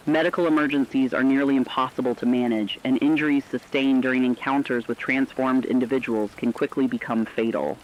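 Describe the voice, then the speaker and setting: A man playing an earnest radio announcer, reading a scripted emergency broadcast in a measured manner. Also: medium pitch, natural voice. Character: earnest male radio announcer warning survivors of catastrophe. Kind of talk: scripted fictional radio news narration. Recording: dull lo-fi sound, rain-like noise.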